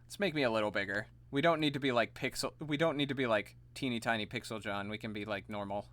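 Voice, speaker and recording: A man streaming live, chatting casually and laughing at himself, his voice slightly gravelly and tired. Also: mid-range voice, fast, a bit bright. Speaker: streamer and voice actor.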